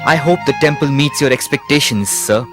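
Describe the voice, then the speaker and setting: A man acting an eager, obedient servant in an animated moral tale. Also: medium pitch, fairly quick, loud music behind the voice, natural voice. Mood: hopeful. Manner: obedient, questioning. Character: eager, deferential male servant.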